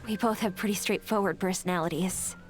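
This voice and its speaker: A woman voicing a friendly, straightforward townswoman who talks in a brisk, upbeat way. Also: mid-range voice, fairly even intonation, music in the background, lightly acted. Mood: cheerful.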